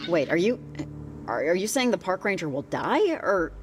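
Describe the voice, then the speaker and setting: A woman's voice: lowish voice, sing-song, moderate pace, music in the background. A woman playing a practical, guarded, concerned character in a video game, speaking casually with a dry, hesitant, understated manner. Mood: gentle, curious. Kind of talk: naturalistic game voice acting, casual dialogue.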